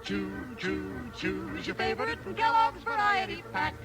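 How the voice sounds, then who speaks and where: A man sings a vintage 1950s advertising jingle. The voice is extremely high and unhurried. Music plays clearly along with it. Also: wildly swooping pitch. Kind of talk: sung 1950s radio jingle. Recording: vintage 1950s radio recording.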